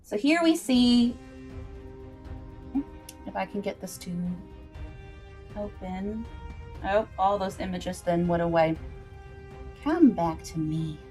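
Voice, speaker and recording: A woman's voice: mid-range voice, lively intonation, slow, long pauses, mellow, soft background music. A woman hosting a livestream, recapping a story informally and explaining it directly to her viewers in a chatty way.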